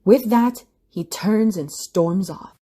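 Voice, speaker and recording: A woman's voice: medium pitch, takes it slowly, warm tone, husky. A woman giving dramatic scripted narration for an audio play, with music behind her.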